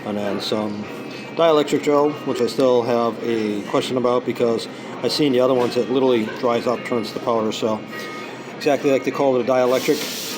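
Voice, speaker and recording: A hoarse man vlogging in a casual, rambling way with many ums and uhs, recording on a small tripod camera inside a busy coffee shop. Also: medium pitch, fairly even intonation, a little fast. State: hoarse from singing practice. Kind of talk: casual unboxing vlog. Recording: noisy coffee shop with music playing. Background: background music and cafe noise.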